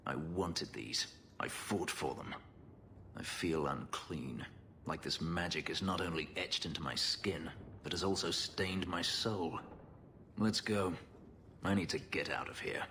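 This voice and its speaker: A man playing a brooding, bitter freed slave warrior in a video game, speaking in a solemn, measured way. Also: deep voice, sharp tone, exaggerated voice. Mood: bitter, disgusted. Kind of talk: dramatic video game acting. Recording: long hall reverb, background noise.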